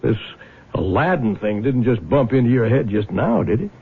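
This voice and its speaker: An actor playing a plain-spoken older small-town father in a radio drama, blunt and folksy, with a gravelly, husky voice. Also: medium pitch, big pitch swings, moderate pace, a little hiss or hum. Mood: blunt, concerned. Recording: muffled old radio drama recording.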